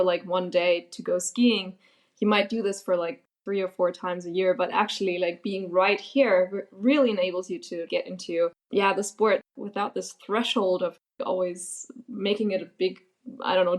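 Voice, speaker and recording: Young woman, laughing easily. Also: mid-range voice. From Germany. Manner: relaxed, laughs often, many fillers like "like" and "yeah". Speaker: guest. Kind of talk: casual interview answers.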